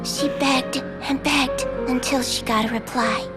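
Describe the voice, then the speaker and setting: A woman voicing a gentle nonhuman little doctor girl in a video game, softly and sincerely telling her story like a storybook. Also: high-pitched, steady tone, unhurried. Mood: gentle storytelling. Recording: background music under the voice.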